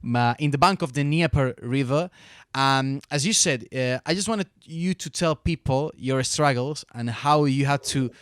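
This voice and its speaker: Male interviewer, smooth clear voice, medium pitch, dry close sound, wordy phrasing. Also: normal speed, dry recording. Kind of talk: conversational interview.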